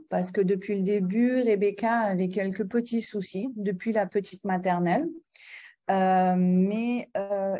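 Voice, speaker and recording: A middle-aged woman from Guinea speaking as an interview guest and mother. She talks slowly and hesitantly, with many fillers and restarts and light laughs. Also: mid-range voice, mellow. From Guinea, of Lebanese descent. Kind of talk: conversational interview.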